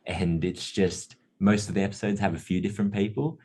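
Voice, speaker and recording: A man being interviewed about his film company, with a very low voice. He explains at length in an easygoing, chatty way. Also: fairly quick. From Australia. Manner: relaxed, explanatory, laughs easily. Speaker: guest, film distributor marketing manager. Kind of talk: casual interview chat.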